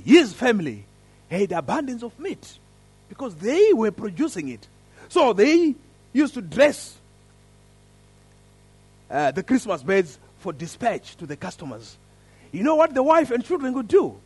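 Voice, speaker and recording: Husky, lively man preaching a sermon. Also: very high voice, no room sound. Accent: African. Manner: earnest, lively storytelling with rising emphasis. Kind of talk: sermon with prayer and story. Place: church service.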